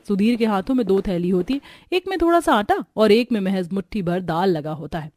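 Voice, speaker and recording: A woman's voice: medium pitch, a little mellow, no room sound. A woman narrating a scripted cartoon story.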